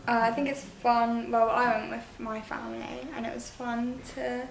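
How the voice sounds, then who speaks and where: A seventeen-year-old girl answering shyly in halting, giggly bits. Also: fairly high pitch, little pitch movement, creaky, crowd noise. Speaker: interviewee. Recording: echoey room.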